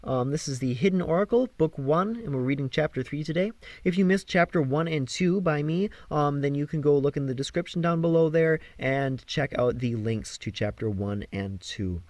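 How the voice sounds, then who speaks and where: A man reading a novel aloud as an audiobook narrator, with an expressive, dramatic delivery. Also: on the high side, normal speed, hardly any pauses, dry recording. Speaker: amateur audiobook reader.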